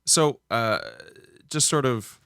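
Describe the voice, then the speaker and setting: A man hosting a streaming talk show, asking questions in a halting casual way with frequent restarts. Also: medium pitch, fairly bright tone, clear, resonant tone, no room sound, studio quality. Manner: halting, restarts, laughs easily. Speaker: host. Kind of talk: casual podcast chat.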